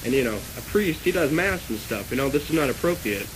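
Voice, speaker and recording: A man prank calling as a stiff, solemn government agent, hesitant and deadpan, on a noisy phone call recording. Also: mid-range voice, fast talker, natural voice. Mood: deadpan, official. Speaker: prank caller.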